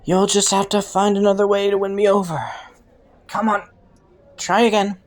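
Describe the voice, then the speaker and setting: A woman's voice: mid-range voice, little pitch movement, moderate pace, lightly acted. A woman voicing a clever, flirty underworld rogue in an audio roleplay, teasing and taunting a detective with sardonic, sultry playfulness. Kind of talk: roleplay audio drama, intimate monologue to the listener.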